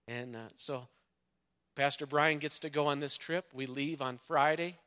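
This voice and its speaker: A man in a church, introducing a guest pastor in a halting way with many pauses. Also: on the high side, fairly even intonation, sharp tone, smooth. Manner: halting, many fillers, a little emotional. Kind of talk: church announcement. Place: church sanctuary.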